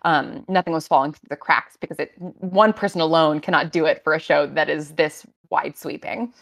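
A woman's voice: low voice, steady tone, normal speed, creaky. A woman talking as a series writer on a panel, casual, earnest and discursive.

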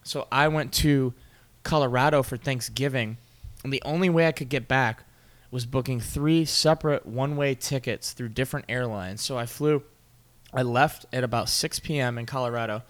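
Young man, podcast co-host, casual sports talk, animated storyteller, laughs a lot, mid-pitched voice. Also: moderate pace.

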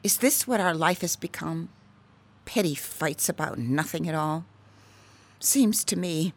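A woman acting in a radio drama as a bored, bitter middle-aged wife, theatrical and sarcastic in manner. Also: lowish voice.

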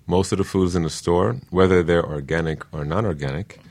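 A man speaking as an expert guest in an interview, explaining things in an earnest, deliberate way. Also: low voice, a little mellow. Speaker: guest expert, permaculture teacher.

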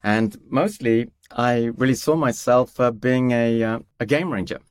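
A man's voice: medium pitch, measured pace, clear, resonant tone, no echo, clean studio sound. A man from East Africa, a guest scientist on a podcast, telling his career story in a rambling, self-deprecating way with many fillers. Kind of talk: conversational podcast interview.

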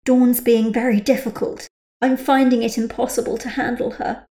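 A woman's voice: on the high side, level tone, moderate pace, dark tone, reverberant, pitched above the performer's own voice, close to an everyday voice. Woman doing a stiff, strained mother. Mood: flustered. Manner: stiff and clipped. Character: strained, stiff middle-aged mother of a difficult daughter.

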